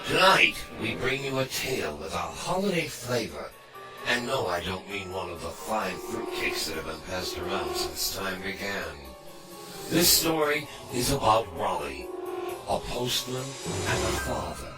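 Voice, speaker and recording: A man with a low voice introducing a horror anthology episode, wry and theatrical. He sounds roomy and set back from the mic, under loud eerie music. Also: very animated, unhurried, distant mic. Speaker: anthology host.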